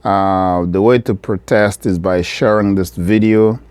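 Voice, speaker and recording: A middle-aged man from the Democratic Republic of Congo talks straight to the camera from his shop. His voice is low and clean, and he speaks very slowly and earnestly, coming back to the same phrases again and again. The sound is close and dry. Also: steady tone, darkish tone, clear, resonant tone, dry recording. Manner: earnest, measured, repeating key phrases. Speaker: vlogger, business owner. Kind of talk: direct-to-camera appeal.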